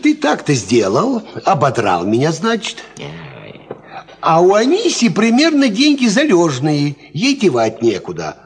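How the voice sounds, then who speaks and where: Cocky peasant know-it-all, husky highish voice, super swingy, lecturing folksy style, old soviet radio drama. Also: male voice, full character voice. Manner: folksy, animated, instructive. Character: worldly, cocksure peasant farmhand explaining to simpler folk. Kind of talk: 1950s Soviet radio play acting.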